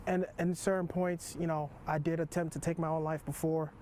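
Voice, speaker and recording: A man's voice: on the high side, level tone, a little fast, mellow, a little hiss or hum. A young man from Haiti speaking earnestly and reflectively in an interview. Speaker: interviewee, athlete.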